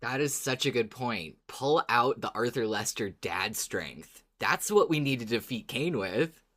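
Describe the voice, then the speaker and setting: A woman's voice: extremely low pitch, lively intonation, measured pace, a bit bright. A woman co-hosting a podcast in casual, informal chat. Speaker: podcast co-host.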